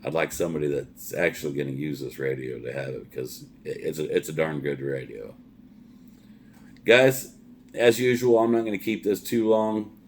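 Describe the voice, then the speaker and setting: Very deep-voiced man, folksy trucker review. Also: moderate pace, a little mellow, slight background noise. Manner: plain-spoken, folksy, repeats words as he goes. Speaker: trucker reviewing gear for followers. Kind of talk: casual product review chat.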